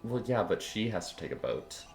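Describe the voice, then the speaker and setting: A woman's voice: a bass voice, sing-song, brisk. A woman giving casual live commentary as she plays a video game, with wry, offhand quips and short reactions. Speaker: game streamer. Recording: roomy echo, game music behind. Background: video game music.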